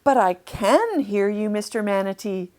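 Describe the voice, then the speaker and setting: A woman's voice: medium pitch, expressive, measured pace, a little mellow, natural voice. A woman voicing a kind little girl in a children's storybook, with an earnest, reassuring way of speaking. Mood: friendly.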